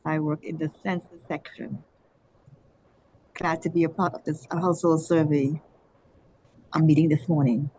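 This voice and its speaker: Warm, lowish woman. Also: little pitch movement, moderate pace, long pauses, slight background noise, low-quality recording. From Bahamas. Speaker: census worker. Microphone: video call.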